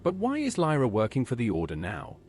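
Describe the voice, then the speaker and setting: Man as inquisitive game hero, very animated pitch. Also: fairly quick, slight background noise. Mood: questioning. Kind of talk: scripted game dialogue, AI voiced.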